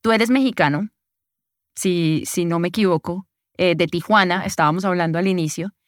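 A woman's voice: on the low side, moderate pace, dry recording, clean studio sound. A woman from Colombia hosting a podcast, asking long, friendly, explanatory questions and sharing her own experiences. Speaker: podcast host. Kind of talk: interview questions and anecdotes. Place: recording in Bogotá.

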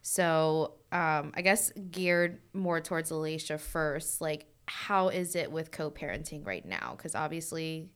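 Low, smooth-voiced woman podcast host. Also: a little slow, few pauses, fairly bright tone. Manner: chatty, asks long winding questions. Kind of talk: casual conversation.

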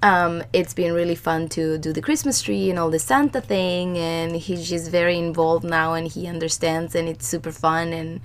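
A woman in her thirties speaks in a slightly low, scratchy voice roughened by a cold. She chats in a loose, rambling way, full of fillers and restarts, as she co-hosts a relaxed podcast. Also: moderate pace, few pauses. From Chile. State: hoarse from a cold. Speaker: podcast co-host. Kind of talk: casual chat.

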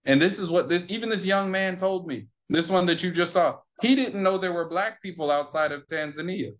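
A man speaking in a slightly high voice as an interview guest. He tells his story in a conversational, animated way, voicing each side of the exchange and hammering points home through repetition. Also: brisk. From United States. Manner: animated, acts out quoted exchanges, repeats himself for emphasis.

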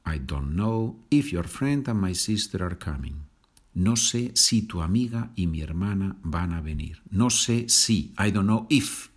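A man from Spain with a Spanish accent, teaching a language lesson, patient and clearly enunciating, repeating phrases. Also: low-pitched, expressive, normal speed. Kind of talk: slow, repetitive language lesson.

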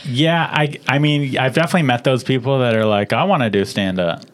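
A man's voice: medium pitch, a little fast. A man hosting a casual podcast chat, teasing and playful as he questions his guest. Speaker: comedian podcast host.

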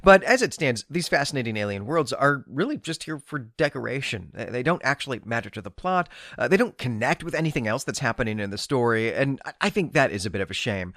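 A male podcast host talks through books in an analytical, bookish way. His mid-pitched voice moves around a lot as he stresses key phrases, and the sound is dry and close, with very little room in it. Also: big pitch swings, quick pace, few pauses, a bit bright, no room sound. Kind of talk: literary podcast commentary on science fiction.

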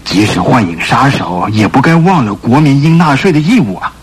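殷勤急切的警察部下. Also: male voice, medium pitch, normal speed, slightly rough, low-quality recording. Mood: eager flattering. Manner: excitable, flattering, with interjections. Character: eager, deferential police subordinate to the chief. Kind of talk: Mandarin radio drama acting.

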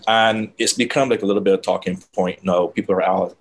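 Man, low voice, dry and reflective, interview guest. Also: a little fast. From Barbados. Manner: relaxed, reflective, self-described dry delivery. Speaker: guest, brand consultant. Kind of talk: casual interview conversation.

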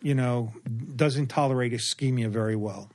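An older man, a surgeon, explaining in casual chat, measured and often repeating words as he goes. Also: medium pitch, a little mellow. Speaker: surgeon guest.

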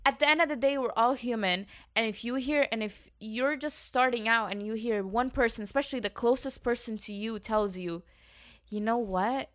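A woman with a fairly high voice talking through her thoughts in an earnest, reflective way. She sounds like a podcast host in casual conversation. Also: moderate pace, fairly bright tone. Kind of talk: casual chat.

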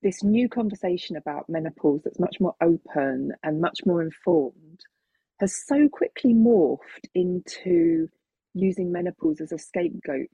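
A middle-aged woman talks casually and reflectively, with plenty of gravelly fry and a husky edge to her voice. She feels her way through thoughts hesitantly. The recording is a little dull and muffled, like an online call. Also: low-pitched, mellow, dry recording. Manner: hesitant, thoughtful, chuckles while talking.

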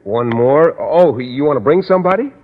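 A man's voice: medium pitch, animated, moderate pace, natural voice. Guy acting bashful clueless science teacher, hesitant, old tinny radio show with reverb. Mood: puzzled, eager. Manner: hesitant, literal-minded, naive. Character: earnest, shy, oblivious male biology teacher. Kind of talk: 1940s radio comedy acting.

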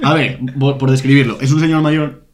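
A man's voice: medium pitch, fairly even intonation, normal speed. A man co-hosting a comedy podcast, joking around and recapping a video clip. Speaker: podcast co-host. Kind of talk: casual comedy chat.

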